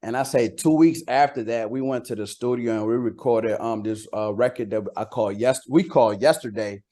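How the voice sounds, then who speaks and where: A man's voice: medium pitch, moderate pace, mellow. A man from Costa Rica, a native Spanish speaker, chatting casually as an interviewed rapper, slangy and repeating words for emphasis.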